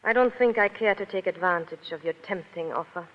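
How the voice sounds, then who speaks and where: A woman's voice: medium pitch, brisk, muffled recording, lightly acted. An actress playing a guarded, worldly cabaret woman in a 1930s radio drama, formal and brief in her replies. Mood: guarded, hesitant. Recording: vintage radio, hall reverb, faint noise.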